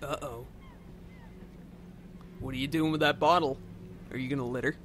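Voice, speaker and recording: A man co-commentating on a video game in casual banter, playful and quick with quips. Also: medium pitch, fairly even intonation, fairly bright tone, slight background noise. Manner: playful, quipping. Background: video game sounds.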